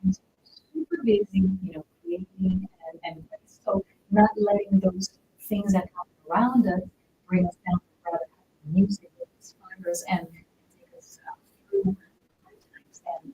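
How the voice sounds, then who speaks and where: A woman's voice: medium pitch, big pitch swings, normal speed, creaky, slight background noise. A woman hosting an interview, asking long, trailing questions over a tinny phone-like line. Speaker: show host. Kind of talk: casual interview chat.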